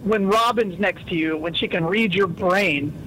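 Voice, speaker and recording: Very high-voiced man, teasing and chatty. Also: normal speed, fairly bright tone, a little hiss or hum, low-quality recording. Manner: teasing, talkative. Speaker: regular radio guest. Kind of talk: casual chat. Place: radio studio.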